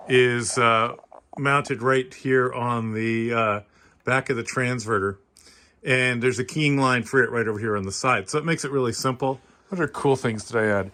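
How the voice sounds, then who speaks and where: A Canadian man gives an informal tour as a hobbyist, speaking off the cuff. His voice is mid-pitched and notably bright and forward. He comes across as modest and self-deprecating, explaining things casually. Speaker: amateur radio hobbyist giving a tour. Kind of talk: casual unscripted walkthrough.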